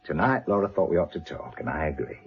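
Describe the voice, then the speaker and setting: An actor playing a wry, fatherly British police inspector with dry, steady authority in a radio drama. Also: a bass voice, fast, vocal fry, dull audio, heavily acted. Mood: wry, kindly.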